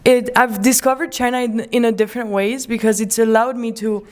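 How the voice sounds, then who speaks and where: Enthusiastic rambling woman host, higher voice. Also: steady tone, brisk. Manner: rambling, restarts often. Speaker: podcast host. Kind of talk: casual conversation.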